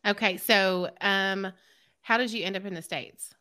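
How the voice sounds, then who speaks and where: Upbeat woman hosting an interview, bright sharp voice, super eager. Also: mid-range voice, steady tone. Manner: eager, upbeat, quick reactions. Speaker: interview host. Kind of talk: casual interview.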